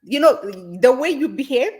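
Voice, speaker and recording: A woman's voice: sits high, animated, darkish tone. Woman grilling a guest. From Ethiopia. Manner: halting, heavy with fillers, pointed accusing questions. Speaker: host. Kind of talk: confrontational interview.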